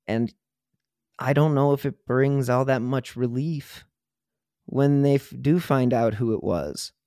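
A man with a medium-pitched voice speaks as a podcast host in conversation. He is earnest, colloquial and ready with his opinions. The sound is dry, with very little room around the voice. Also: darkish tone, no echo. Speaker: true crime podcast host. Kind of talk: casual conversational interview talk.

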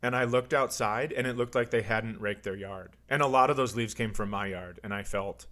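A man's voice: lowish voice, normal speed, a bit bright. A man chatting casually and comically as a podcast co-host, with a wry, teasing way of talking. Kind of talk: casual comedic chat.

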